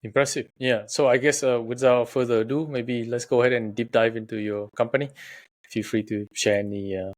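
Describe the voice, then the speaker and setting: A man's voice: medium pitch, fairly even intonation, a little fast. A man hosting a podcast interview, asking friendly questions and adding short encouraging remarks. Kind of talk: interview, casual chat.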